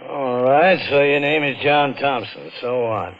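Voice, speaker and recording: Vintage radio drama: male desk sergeant, mid-pitched, brusque, wary, unhurried, played by a male actor. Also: lively intonation, slight background noise, lightly acted. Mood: grumpy. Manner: curt, brusque. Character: gruff, drowsy police sergeant, wary and blunt. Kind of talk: 1950s radio drama acting. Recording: muffled old radio recording.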